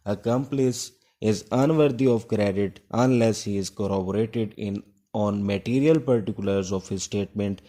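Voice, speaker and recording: A man's voice: on the low side, dark tone. A Pakistani man giving an instructive, exam-focused law lecture, repeating key points as he explains and dictates. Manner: instructive, repeats key points, switches between Urdu and English.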